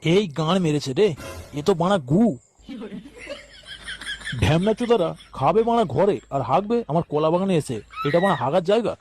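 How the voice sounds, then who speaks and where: A man's voice: sits high, animated, fairly quick, pauses often, a little mellow. A man with a West Bengali accent voicing an irritable, foul-mouthed village banana farmer in a comic cartoon. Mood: indignant. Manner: grumbling, swearing.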